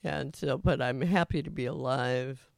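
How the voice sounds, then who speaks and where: A man hosting a radio show in a rambling, casual monologue, with a raspy voice, chuckling often and trailing off into fillers and restarts. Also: mid-range voice, unhurried, no room sound.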